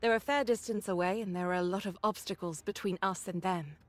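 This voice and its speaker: A composed, mature woman soldier from an anime game, acted by a woman, measured and gently firm. Her pitch is moderate, and soft music plays underneath. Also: lightly acted. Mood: composed, businesslike. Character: calm, caring, mature female squad second-in-command.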